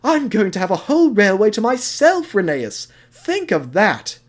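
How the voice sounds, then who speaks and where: A man's voice: high-pitched, huge pitch swings, normal speed, pitched above the performer's own voice, exaggerated voice. A man voicing a vain, chatty young steam engine in an audio drama, with a bubbly, grandiose and theatrical manner. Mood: excited, boastful. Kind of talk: audio drama character acting.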